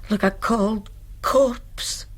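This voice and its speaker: An actress playing a caring, superstitious Scottish housekeeper in a radio drama, speaking homely dialect. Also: female voice, medium pitch, steady tone, very slow, breathy edge. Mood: anxious, caring. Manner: homely, solicitous dialect speech.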